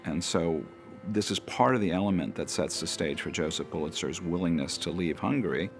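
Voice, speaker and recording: A man with a gravelly voice, telling history as a historian in a documentary interview. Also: deep voice, a little fast, soft background music. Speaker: biographer, historian.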